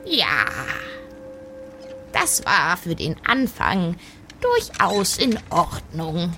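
Woman playing a slow-spoken, swoopy-voiced dwarf aunt. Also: on the low side, huge pitch swings, bright, forceful tone, soft background music, full character voice. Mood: nonchalant, pleased. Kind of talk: improvised role-play audio drama.

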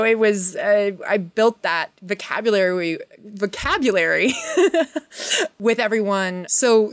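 A woman in a casual chat as a guest, effusive and rambling, often halting and restarting her thoughts. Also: medium pitch, dry recording. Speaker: panel guest, actress.

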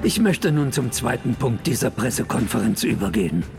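Creaky, formal space agency director. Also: male voice, medium pitch, sing-song, music in the background. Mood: diplomatic. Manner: formal, measured, official. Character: male space agency director announcing bad news and resignation. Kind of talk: formal press conference statement in audio drama.

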